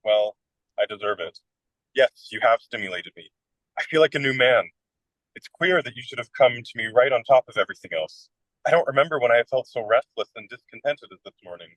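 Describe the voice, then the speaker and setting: A whimsical young American writer in a radio comedy, acted by a man. The voice is very bright and forward, recorded dry and close. He talks in wry, theatrical flourishes and happily pokes fun at himself. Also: mid-range voice, lively intonation, a little fast, no room sound, rough recording. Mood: admiring, restless. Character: self-deprecating, whimsical American male writer of twenty-six. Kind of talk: radio play comedy dialogue.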